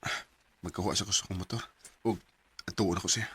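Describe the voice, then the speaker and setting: A man's voice: sits low, steady tone, brisk, pushed and bright, slightly rough, full character voice. A man acting in a Bisaya radio drama as an earnest, meek, hardworking office employee, with a hesitant manner and a Filipino Bisaya accent. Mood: pensive, concerned.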